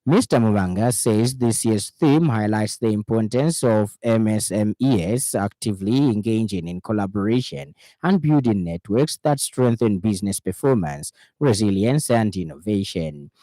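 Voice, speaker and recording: Male radio news reader, Zambian accent, low mellow voice, formal measured delivery, dry studio sound. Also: lively intonation, no echo. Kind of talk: scripted news bulletin reading.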